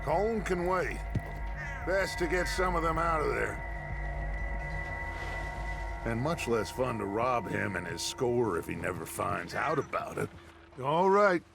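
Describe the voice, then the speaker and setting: Gravelly, commanding American outlaw leader. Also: male voice, mid-range voice, expressive, unhurried, long pauses. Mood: measured, commanding. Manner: theatrical, authoritative. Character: charismatic middle-aged outlaw gang leader, commanding, persuasive. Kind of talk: dramatic video game western acting. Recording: music behind the voice.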